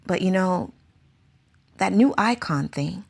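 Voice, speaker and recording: A woman's voice: lowish voice, expressive, takes it slowly, gravelly, heavily acted. A woman playing an anxious, earnest circus teacher in a radio drama, asking question after question.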